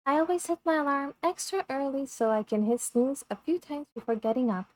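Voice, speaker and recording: A woman reading a visual novel script aloud in an expressive, dramatic way over game music. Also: high-pitched, a little fast, slight background noise.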